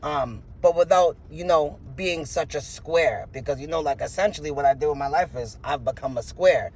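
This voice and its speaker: A man's voice: on the high side, moderate pace, darkish tone, a little hiss or hum. A man in his thirties with a froggy voice, talking frankly in an interview and rambling with many filler words. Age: 30s. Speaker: interview guest, rapper. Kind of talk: candid interview answers.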